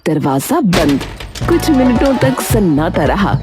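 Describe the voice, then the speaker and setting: A woman's voice: lowish voice, big pitch swings, unhurried, music in the background. A woman narrating a scripted comic story as its storyteller.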